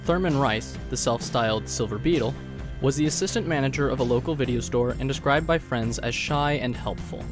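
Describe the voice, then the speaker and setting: Sober newsman over clear music, played by a man. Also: mid-range voice, brisk, lightly acted. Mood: solemn. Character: sober, formal TV news reporter. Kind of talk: scripted news report. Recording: guitar music behind the voice.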